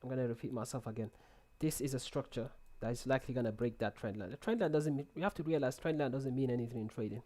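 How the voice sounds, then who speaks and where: Young man, live stream host, casual chat, repeats himself, headset mic, small room, mid pitch. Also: quick pace, hardly any pauses, darkish tone. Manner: chatty, repeats words, reads and answers chat. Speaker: forex trading streamer.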